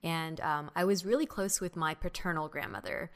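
A young woman from the United States, co-hosting a podcast and telling childhood stories in a relaxed, reflective way, with many fillers. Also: deep voice, moderate pace. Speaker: podcast co-host. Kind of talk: casual chat.

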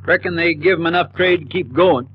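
A man's voice: fairly high pitch, brisk, natural voice. A man playing an eager, folksy country sheriff in a 1950s radio western, with homespun rural phrasing. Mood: chatty. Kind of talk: 1950s radio drama acting. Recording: muffled, noisy 1950s radio recording.

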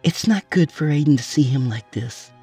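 A man's voice: a little high, lively intonation, normal speed, dark tone, slightly rough, soft background music, voice pushed up. A man voicing a stern, disapproving mother fed up with her husband, in a scolding, clipped audiobook delivery. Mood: firm, protective.

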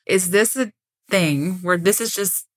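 A woman's voice: mid-range voice, a little slow. A woman co-hosting a podcast, chatting informally and questioning the story in an incredulous way. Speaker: podcast co-host. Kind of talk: casual chat, reacting to a true crime story.

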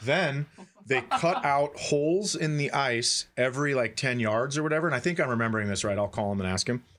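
A man's voice: medium pitch, a little fast, a bit bright. A man co-hosting a comedy podcast, riffing casually and profanely and acting out bits.

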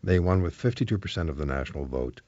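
A male talk radio host with a lively, widely swinging delivery. He speaks deliberately and leans on his points, posing questions as he goes. The sound is close and dry, slightly dulled. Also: low voice, no room sound, muffled recording. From Switzerland. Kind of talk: radio monologue and interview.

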